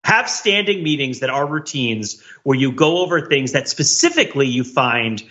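Male podcast co-host, animated and bright, playful conversational delivery. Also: medium pitch, normal speed, lo-fi sound. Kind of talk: casual chat.

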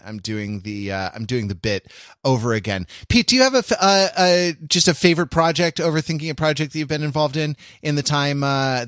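A man hosting a casual podcast chat, speaking in a halting, wry and joking way. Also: mid-range voice, fairly bright tone, no echo, studio quality. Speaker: podcast host.

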